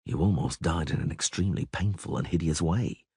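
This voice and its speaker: A weary monster hunter, read by a man in an audiobook, speaks in a deep, grainy voice with curt, dry sarcasm. His lines are short and stern, but the pitch still moves a fair amount. The recording is close and dry. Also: big pitch swings, normal speed, slightly rough, no echo, heavily acted. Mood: serious. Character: gruff, weary grown male monster hunter. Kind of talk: audiobook character voice.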